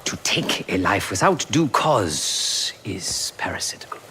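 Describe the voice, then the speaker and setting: A man playing a remorseful, pacifist former enforcer in a video game cutscene, speaking in formal, measured, philosophical phrases. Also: fairly low pitch, big pitch swings, in a whisper. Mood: patient, philosophical.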